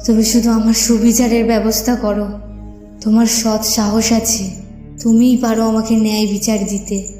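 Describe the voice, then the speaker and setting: Wronged young female ghost played by a woman, cartoon horror story: plaintive, solemn, fairly high and even-toned, drenched in reverb over music. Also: normal speed, natural voice. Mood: pleading, sorrowful. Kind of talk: dramatic audio story acting for cartoon. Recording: echoey, long reverb, music behind.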